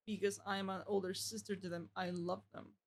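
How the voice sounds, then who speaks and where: A woman's voice: medium pitch, little pitch movement, brisk, a little mellow, a little hiss or hum. A woman streaming a game, reading its text aloud and reacting casually.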